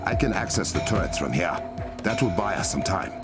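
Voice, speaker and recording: A stern, regal game hero leads with a low and commanding voice. His formal delivery moves expressively in pitch over a musical score. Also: expressive, a little fast, over loud music. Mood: focused. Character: proud warrior king and team leader, commanding, regal, stern. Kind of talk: video game cutscene dialogue.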